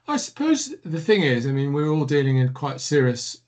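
A middle-aged man hosting an interview, agreeing warmly and asking follow-up questions. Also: a little high, sing-song, normal speed. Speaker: interviewer host. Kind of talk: conversational interview.

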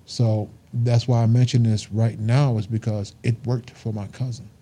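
Man, 50s, truck-driver guest; earnest and emphatic, very mellow dark tone, mid pitch. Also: level tone, moderate pace. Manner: earnest, emphatic, repeats himself. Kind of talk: casual interview talk.

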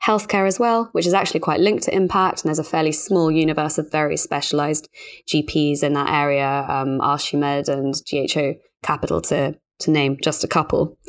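A woman with a distinctly low-pitched voice talks as a financial journalist on a podcast. She explains industry points conversationally, in a measured and carefully qualified manner. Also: fairly even intonation.

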